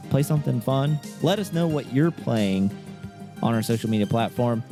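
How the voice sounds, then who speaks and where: A man's voice: medium pitch, expressive, normal speed, over music. A young American man co-hosting a podcast, chatting in an informal, conversational way. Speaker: podcast co-host. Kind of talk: casual chat.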